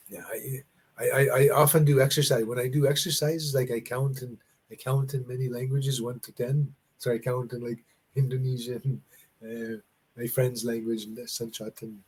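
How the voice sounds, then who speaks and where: A man's voice: mid-range voice, husky. A man from Canada in a casual online conversation lesson, telling rambling stories with many restarts over a video call. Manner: rambling, restarts and laughs, animated storytelling. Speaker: conversation partner.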